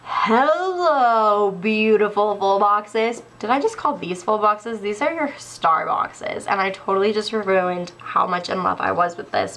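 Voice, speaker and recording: A young woman showing sticker kits in a casual haul video, gushing and excitable, a little stuffy. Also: mid-range voice, low-quality recording. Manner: gushing, excitable, laughs often. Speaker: planner sticker vlogger. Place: indoors at a wooden table.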